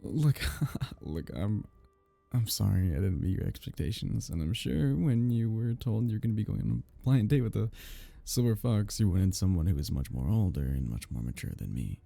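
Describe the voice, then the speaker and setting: A man acting a geeky, awkward male kitsune on a blind date in an ASMR roleplay, halting, stammering and apologetic. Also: fairly low pitch, animated, creaky, mellow, no echo, faint music behind. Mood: apologetic, dejected.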